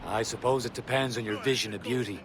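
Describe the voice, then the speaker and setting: An actor playing a steady, reassuring seafaring assassin, calm and thoughtful, in a video game. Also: male voice, medium pitch, fast talker, crowd noise, close to an everyday voice. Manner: calm, thoughtful, plain-spoken. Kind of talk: dramatic video game acting.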